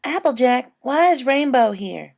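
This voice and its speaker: Little filly kid sister, voiced by a story reader, very high pitch, excitable, nosy, cartoonish. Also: a little slow, gravelly, exaggerated voice. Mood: curious.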